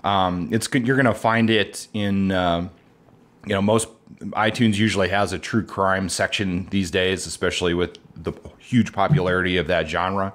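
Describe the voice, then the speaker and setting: A man's voice: low voice, steady tone, normal speed, a bit bright. A man co-hosting a podcast, chatting casually and rambling a little with restarts. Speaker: podcast co-host. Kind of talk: casual chat.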